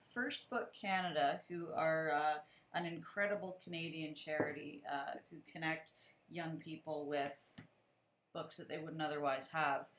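Woman, teacher host, casual chat, lower voice, echoey room, video call. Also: fairly even intonation, unhurried, fairly bright tone, a little hiss or hum.

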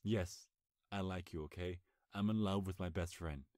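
A young man playing a nervous, lovestruck best friend in an intimate ASMR roleplay, speaking earnestly with a halting stammer. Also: low voice, darkish tone, no room sound, studio quality, lightly acted. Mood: pleading, lovestruck.

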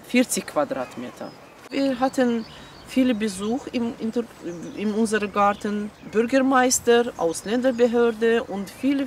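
A woman from Azerbaijan, a member of a community garden, speaking in halting non-native German in a documentary interview in an outdoor garden. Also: on the high side, recorded from a distance, slight background noise.

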